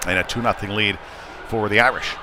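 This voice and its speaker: A man giving live ice hockey play-by-play in short clipped calls with hesitant pauses. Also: lowish voice, steady tone. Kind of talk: live sports commentary. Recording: clear background noise, live rink ambience. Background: ambient sports venue noise.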